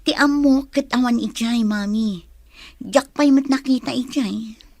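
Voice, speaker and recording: An actress playing a puzzled, inquisitive daughter in an Ilocano radio drama, with an Ilocano accent. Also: female voice, a little high, a little slow, a little mellow, no echo. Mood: puzzled, suspicious.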